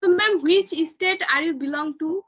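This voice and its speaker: A woman's voice: very high voice, moderate pace, a bit bright, dull audio. A young woman from Bangladesh, a Bangla speaker with a Bangladeshi accent, asking short polite questions.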